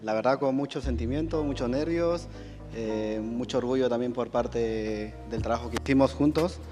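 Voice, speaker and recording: A man with a Peruvian accent, a chef answering questions at a cooking contest in a soft, halting way with many fillers. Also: mid-range voice, big-room sound, over music. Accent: Peruvian Spanish. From Peru. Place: auditorium stage.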